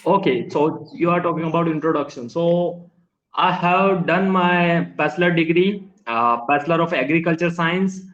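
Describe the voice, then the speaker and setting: A man's voice: a little high, normal speed, a bit bright, echoey room, lo-fi sound. A man from India whose first language is Hindi, practicing English in earnest, polite, simple phrasing on a laptop video call. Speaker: English learner. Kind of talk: casual online conversation lesson. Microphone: laptop microphone on a video call.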